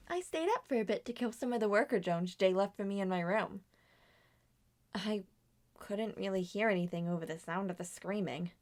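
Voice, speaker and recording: A woman's voice: medium pitch, close to an everyday voice. A woman voicing a blithe female killer robot with a breezy, casual manner.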